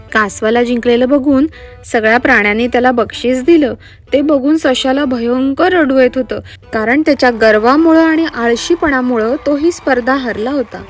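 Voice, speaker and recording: High-voiced woman telling children's stories over music. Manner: expressive, addresses children directly. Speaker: children's storyteller. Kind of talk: scripted storybook narration for children. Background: background music.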